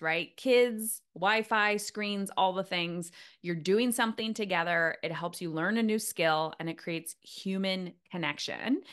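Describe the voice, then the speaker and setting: A woman's voice: lowish voice, a bit bright, clear, resonant tone. A woman hosting a podcast interview, chatting informally and peppering her talk with "like" as she reflects ideas back. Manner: informal, frequent "like", reflects back what guest says. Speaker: podcast host. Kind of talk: casual interview chat.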